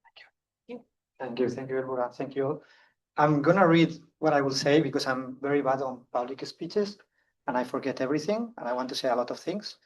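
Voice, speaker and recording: A man from Spain with a strong Spanish accent, giving an earnest, fast-paced conference talk with frequent restarts. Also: mid-range voice, recorded from a distance, poor audio. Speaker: conference speaker. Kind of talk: public talk.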